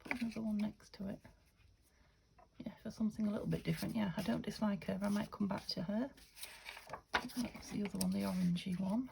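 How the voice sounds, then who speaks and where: A woman from the UK chatting through a craft-along, thinking aloud and often dropping to a half-whisper, her voice a little croaky. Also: mid-range voice, a little fast, a little mellow, slight background noise, poor audio. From United Kingdom. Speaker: craft vlogger. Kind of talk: casual craft-along chat.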